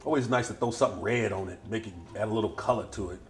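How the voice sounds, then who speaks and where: A man's voice: lowish voice, very fast. A middle-aged man hosting a casual cooking demonstration in a home kitchen, chatting easily while he works. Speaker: cooking show host.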